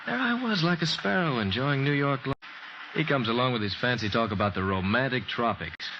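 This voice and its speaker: An old, dull radio recording with clear noise carries an actor playing a wisecracking American airfield man. His pitch leaps around as he chatters in slangy, exuberant bursts, a breezy fellow itching to go home. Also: animated, a little fast, close to an everyday voice. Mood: boastful, wisecracking. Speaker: airfield tower radio operator. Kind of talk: old-time radio drama acting.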